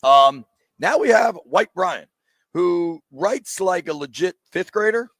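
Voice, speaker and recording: A man hosts live sports radio from a sports bar, cracking jokes and ribbing his co-host and guests as he runs through picks. His voice sits a little high with a gravelly edge. The sound is dry and close. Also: animated, fairly bright tone, no echo. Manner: joking, teasing, rapid bracket rundown. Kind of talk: casual sports radio banter.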